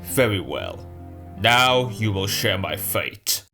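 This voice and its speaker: A man voicing a fantasy warrior in an animated fan dub, dramatic and declamatory, with music behind him. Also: lowish voice, slow, heavily acted. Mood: ominous. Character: warrior figure in a fantasy adventure.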